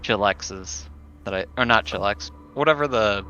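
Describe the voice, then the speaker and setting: Guy playing a tabletop rpg, lowish bright voice, hesitant, muffled noisy audio. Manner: hesitant, halting, thinking aloud. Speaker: tabletop roleplaying game player. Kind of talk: casual improvised game chat. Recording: dull lo-fi audio, faint background noise.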